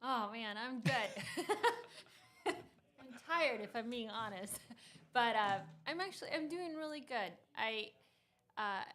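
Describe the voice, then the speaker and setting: A woman's voice: a little high, lively intonation, slow, fairly bright tone. A woman in her thirties from Costa Rica, a startup founder talking as a guest in a studio interview, laughing often and using many fillers and restarts. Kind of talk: casual interview conversation.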